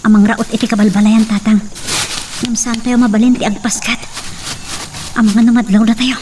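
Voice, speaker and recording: Mellow, resolute Ilocano daughter played by a woman. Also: on the high side, level tone, a little fast, close to an everyday voice. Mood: worried, resolute. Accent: Filipino, Ilocano. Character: resolute daughter planning a defence with her father. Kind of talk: Ilocano radio-style drama acting. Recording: distant mic, faint background noise with insects.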